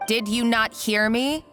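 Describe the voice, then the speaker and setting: A woman's voice: a little high, fairly bright tone, heavily acted. A woman playing a domineering, gloating female villain in a video game, speaking with taunting condescension. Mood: imperious. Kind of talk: anime-style video game acting.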